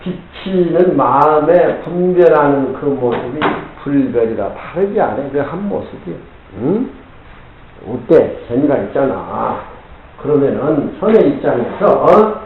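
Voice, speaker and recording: A gruff older male teacher gives a scripture lecture, his fairly high voice swinging widely up and down as he prods his students for answers. The sound is drenched in heavy room echo. The old tape is dull and muffled, with a faint hiss underneath. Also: expressive, unhurried. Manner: gruff, keeps prodding listeners with "eh?". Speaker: Zen teacher.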